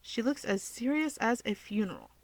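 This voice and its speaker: Higher-pitched woman narrating a story. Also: wildly swooping pitch, normal speed, dry recording. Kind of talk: first-person fan fiction narration.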